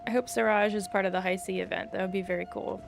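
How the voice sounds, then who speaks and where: A woman's voice: medium pitch, fairly even intonation, quick pace, gravelly. A woman game streamer giving casual live gameplay commentary and chatting with viewers. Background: game music.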